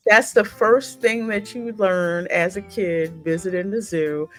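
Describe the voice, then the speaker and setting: A woman's voice: medium pitch, steady tone, measured pace, fairly bright tone, faint music behind, low-quality recording. A woman with an American accent, a gaming host chatting with a co-host in a colloquial, playful way. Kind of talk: casual reaction chat with a co-host.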